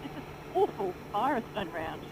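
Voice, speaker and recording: A woman's voice: mid-range voice, sing-song, normal speed, crowd noise, poor audio. A woman riding pillion on a motorbike, chatting in a bubbly way and finishing her partner's sentences. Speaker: vlogger, pillion passenger. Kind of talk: casual chat while riding. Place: outdoors on a moving motorbike. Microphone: action camera on the bike.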